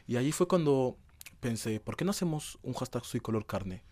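A man's voice: mid-range voice, animated, normal speed, a little mellow, dry recording. Young man from being interviewed. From Madrid, Spain. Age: young adult. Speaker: interview guest, young dancer. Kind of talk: conversational interview answers.

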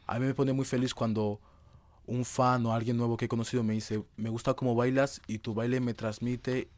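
A man's voice: medium pitch, level tone, brisk, darkish tone, no echo. A young man, giving conversational answers as an interview guest. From Madrid, Spain. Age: young adult.

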